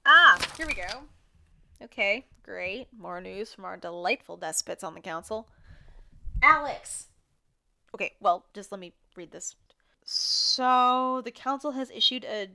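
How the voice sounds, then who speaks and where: An actress playing a rambling radio co-host in an audio drama, wary of authority and prone to arguing with herself. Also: female voice, mid-range voice, animated, a little slow, vocal fry, sharp tone, heavily acted. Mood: chatty, informative. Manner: impassioned, argues back and forth with herself.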